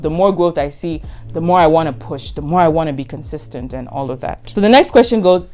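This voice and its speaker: A woman's voice: sits low, mellow. A Nigerian woman in her twenties, a content creator answering viewer questions in a casual vlog, frank and emphatic, repeating words for stress. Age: 20s.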